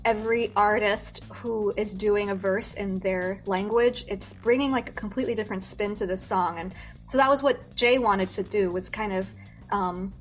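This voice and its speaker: A woman's voice: mid-range voice, normal speed, soft background music. A young American woman chatting as a guest singer in an interview, talking with lots of fillers, restarts and giggles. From United States. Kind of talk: casual interview chat.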